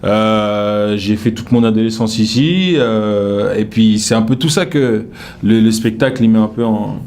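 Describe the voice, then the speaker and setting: A man's voice: lowish voice, measured pace. A young man with a Paris-suburbs accent, talking casually and rambling with fillers in an interview. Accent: French from the Paris suburbs, slightly Québec-tinged. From France. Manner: relaxed, rambling, with frequent fillers. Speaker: comedian as interview guest.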